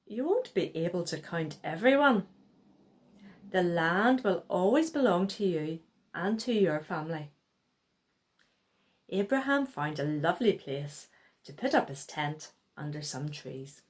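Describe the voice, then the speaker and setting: A woman reads a story aloud for children at a slow, unhurried pace. Her delivery is expressive and her pitch moves widely up and down, bringing out the dialogue as she narrates. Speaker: children's Bible story reader.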